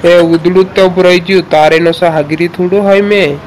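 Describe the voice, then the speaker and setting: A man voicing an excitable cartoon boy in a village comedy, urgently repeating exclaimed warnings. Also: sits high, unhurried, slight background noise, rough recording. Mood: insistent.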